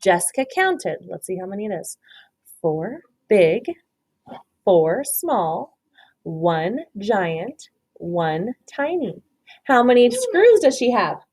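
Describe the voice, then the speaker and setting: A woman's voice: mid-range voice, sing-song, takes it slowly, darkish tone, breathy edge. A woman kindergarten teacher reading a picture book aloud, prompting young children with counting questions and praise. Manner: prompting, instructive, praising pupils.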